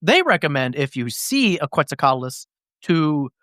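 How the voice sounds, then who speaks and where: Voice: medium pitch, very animated, normal speed, fairly bright tone, no echo, studio quality. A podcast host recapping things in a casual, comedic way, with slangy, profane, jokey storytelling.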